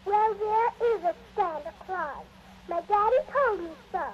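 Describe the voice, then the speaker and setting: A woman's voice: very high voice, measured pace. A woman playing a trusting little girl with a small, childlike voice in a 1940s radio drama. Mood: earnest, insistent. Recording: muffled, noisy 1940s radio recording.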